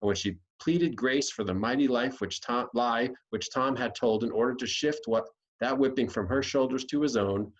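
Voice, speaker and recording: A man's voice: medium pitch, normal speed, hardly any pauses. American man reading a story, slightly dull sound. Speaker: audiobook reader. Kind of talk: scripted storybook narration.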